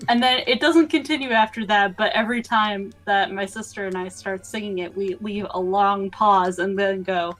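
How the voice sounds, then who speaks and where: A woman's voice: mid-range voice, fairly even intonation, moderate pace, hardly any pauses, a bit bright, soft background music. A woman chatting casually with friends on a game night stream, amused and laughing between her remarks. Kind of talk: casual chat among friends.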